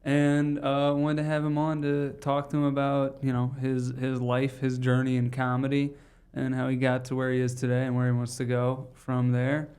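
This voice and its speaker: A man hosting a podcast, chatting casually, making jokes and asking quick questions. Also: medium pitch, monotone, a little mellow. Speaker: podcast host. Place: podcast studio.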